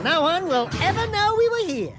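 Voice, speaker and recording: A cocky little rodent sidekick, high-pitched and wildly animated. He is a brash, excitable video game wisecracker, with loud music playing behind him. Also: normal speed.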